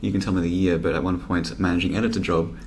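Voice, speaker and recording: A man with a very deep voice full of gravelly fry hosts a podcast interview. He asks his questions plainly and murmurs short acknowledgements, with the odd dry joking aside. There is a faint hiss of noise behind him. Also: little pitch movement, rapid-fire, a little mellow.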